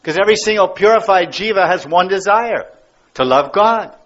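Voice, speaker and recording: A somewhat high-voiced American man teaching a devotional class, emphatic and repetitive to drive each point home. The recording is dull and lo-fi, with the top end muffled. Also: moderate pace, a bit bright. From United States. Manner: emphatic, repeats key words. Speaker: spiritual teacher. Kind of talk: devotional lecture.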